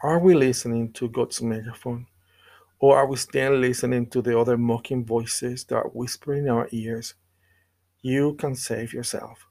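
Man, medium pitch, creaky gravelly voice, scripted sermon, earnest measured delivery, deliberate pauses. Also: steady tone, dark tone. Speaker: preacher.

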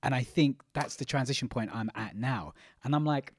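A man's voice: medium pitch, moderate pace, slightly rough, dry recording, clean studio sound. A man hosting a podcast, talking in a reflective, casual way with some swearing. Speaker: podcast host. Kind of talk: casual interview chat.

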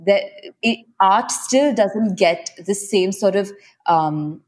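A woman's voice: mid-range voice, takes it slowly. A woman, answering as a guest in a conversational interview. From Mumbai, India. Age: 20s. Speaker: guest, art venture founder.

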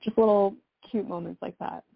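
A woman's voice: mid-range voice, fairly even intonation, normal speed, creaky, slightly rough, no room sound, lo-fi sound. A young woman from South Florida chatting casually as an interview guest, peppering her answers with youthful slang. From South Florida, United States. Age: young adult. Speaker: singer-songwriter guest.

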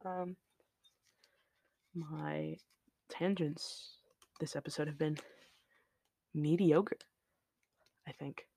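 A podcast host talking in an unscripted solo chat, with a flat, monotone delivery, rambling from one tangent to the next with lots of fillers, into a shelf-mounted mic. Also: medium pitch, very slow, creaky, pauses often, no echo. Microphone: shelf-mounted studio mic.

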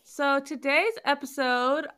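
A woman's voice: sits high, fairly even intonation, slow, sharp tone. Giggly young woman co-host. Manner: chatty, giggly, apologetic. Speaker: podcast co-host. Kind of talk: casual chat.

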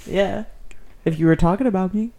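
A woman's voice: medium pitch, brisk, a crowd in the background. A young woman co-hosting a podcast, chatting casually in a playful, teasing, giggly way. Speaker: podcast co-host. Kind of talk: casual chat with friends.

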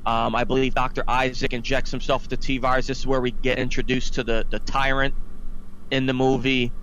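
A man's voice: mid-range voice, level tone, brisk, fairly bright tone, low-quality recording. A man hosting a casual podcast, giving an exasperated, opinionated recap of films in a ranting style. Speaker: podcast host. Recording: clear background noise.

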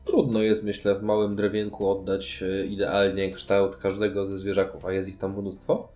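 Male podcast co-host, low and very mellow voice, casual discussion over faint music. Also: monotone, normal speed. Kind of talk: casual board game discussion.

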